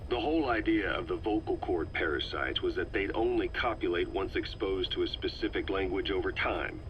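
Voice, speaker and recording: Man acting a low-voiced, serious military commander. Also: brisk, dull audio, noisy background, lightly acted. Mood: serious, questioning. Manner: terse, questioning. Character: male military commander, middle-aged, serious, probing. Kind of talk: investigative dialogue in dramatic game voice acting.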